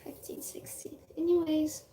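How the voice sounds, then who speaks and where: A young woman from Morocco with a Moroccan accent, Amazigh her first language, chatting casually and excitably, rambling with many fillers and dropping into whispers. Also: high-pitched, a little slow. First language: Amazigh (Tamazight). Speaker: vlogger.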